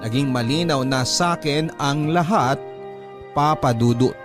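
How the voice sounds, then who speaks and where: A man's voice: medium pitch, unhurried. A man narrating a dramatic first-person story as a radio host. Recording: music under the voice.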